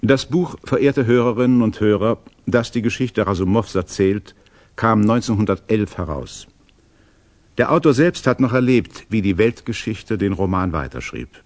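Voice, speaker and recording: A formal narrator addresses radio listeners in a fairly low voice. His scripted delivery is measured and reflective, in the manner of a radio play epilogue. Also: lively intonation, a little mellow.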